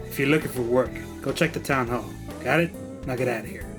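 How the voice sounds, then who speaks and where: A man acts out a Victorian police inspector in a radio play, impatient and dismissive. His mid-pitched voice is gruff and bossy, with music underneath. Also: quick pace, natural voice. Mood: gruff, irritated. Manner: brusque, dismissive. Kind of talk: audio drama acting.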